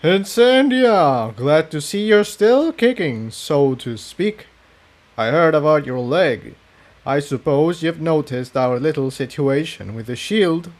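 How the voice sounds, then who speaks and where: A man voicing a cruel, smug male pony villain in a story reading, with a taunting, drawn-out manner. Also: a little high, lively intonation, voice pushed up. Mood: mocking.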